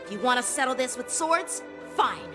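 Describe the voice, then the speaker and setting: A woman's voice: high voice, animated, over music. Woman voicing a hot-headed teenage boy swordsman. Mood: indignant. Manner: indignant, quick to anger, formal. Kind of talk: game cutscene voice acting.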